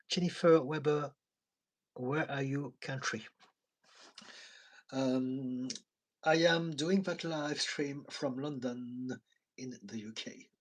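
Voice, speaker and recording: French-accented guy chatting on his stream, kinda husky, tired, laughs a lot. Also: fairly high pitch, low-quality recording. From France. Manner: chatty, laughs often, soft asides. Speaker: therapist hosting a live stream. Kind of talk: casual live-stream chat reading viewer comments. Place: home in London.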